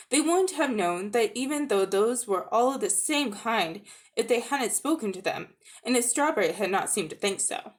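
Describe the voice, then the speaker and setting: A woman narrates a storybook in a dramatic reading style. Her pitch moves around freely and expressively, and she now and then stumbles over a phrase. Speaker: amateur audiobook reader.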